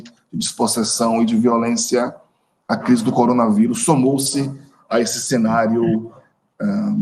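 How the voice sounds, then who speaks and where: A man introducing a guest at an online academic seminar, halting often with fillers and self-corrections. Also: medium pitch, darkish tone. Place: video call.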